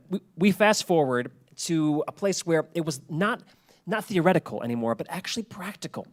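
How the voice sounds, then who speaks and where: Chatty man presenting an informal lecture. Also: mid-range voice. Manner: chatty, colloquial storytelling with asides. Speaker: presenter at a public talk. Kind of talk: informal public lecture with slides.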